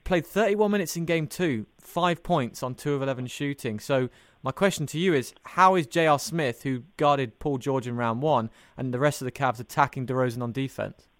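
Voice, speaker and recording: A man's voice: medium pitch, moderate pace, no room sound. A man hosting a sports podcast, putting detailed, analytical questions to a guest. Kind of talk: interview questioning mixed with prepared sports commentary.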